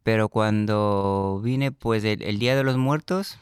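A man's voice: fairly low pitch, unhurried, smooth, no room sound, clean studio sound. A middle-aged man giving hesitant, unscripted interview answers, searching for words. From Ecuador. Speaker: interview guest.

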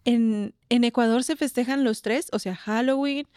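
A Mexican woman hosts a radio program in a fairly high voice. She introduces the show and asks her guests friendly, curious questions. The studio sound is dry and close. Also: moderate pace, a little mellow, clear, resonant tone, no room sound. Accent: Mexican Spanish. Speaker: radio host.

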